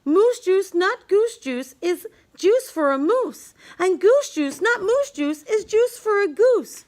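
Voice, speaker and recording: Lady with a really high voice slowly reading a rhyming kids bedtime book out loud. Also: expressive. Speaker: storybook reader. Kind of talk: scripted read-aloud of a rhyming children's bedtime story.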